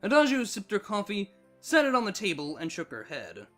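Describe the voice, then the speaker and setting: High-pitched, animated male story narrator. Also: a little fast, faint music behind.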